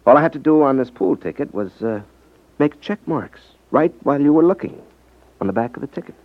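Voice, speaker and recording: Wry, lively-voiced radio detective. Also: male voice, on the high side, brisk. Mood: smug explaining. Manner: sardonic, unruffled. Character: cool, wry male detective-magician who exposes swindlers. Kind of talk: 1950s radio drama acting. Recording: dull 1950s radio broadcast recording.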